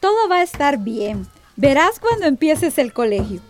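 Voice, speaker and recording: An actress playing a cruel, domineering middle-aged aunt in a radio drama. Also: female voice, high-pitched, big pitch swings, moderate pace. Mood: falsely reassuring. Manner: scolding, domineering, threatening.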